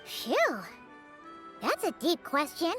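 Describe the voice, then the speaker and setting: Tiny sprite sidekick from a game, super squeaky, bouncy pitch, childlike chatterbox. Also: female voice, huge pitch swings, takes it slowly, music in the background, full character voice. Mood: puzzled. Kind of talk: video game voice acting.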